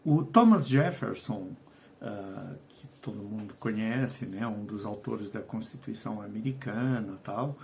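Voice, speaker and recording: Older Portuguese-accented man, gravelly teaching voice. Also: mid-range voice, darkish tone. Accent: Portuguese, softened by decades in Brazil. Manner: conversational, frequent tag questions, slows to stress key phrases. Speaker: writer and educator. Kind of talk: video lesson on short fiction.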